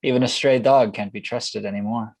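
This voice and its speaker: Guy doing shady wary smuggler man, cryptic, medium pitch, stage play. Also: steady tone, fairly quick, a little mellow, close to an everyday voice.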